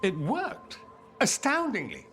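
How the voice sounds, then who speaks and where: An actor playing an urbane, sardonic rebel mage-politician in a video game, eloquent and bold, with a theatrical delivery. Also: male voice, high-pitched, wildly swooping pitch, slow, a bit bright, heavily acted. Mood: insistent. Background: background music.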